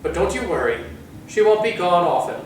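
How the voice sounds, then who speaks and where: Man acting gloomy storybook father, middle-aged, halting, secretive, awkwardly hospitable, very echoey distant recording with music. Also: high voice, sing-song, voice pushed up. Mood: awkwardly formal. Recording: echoey room, far from mic, music.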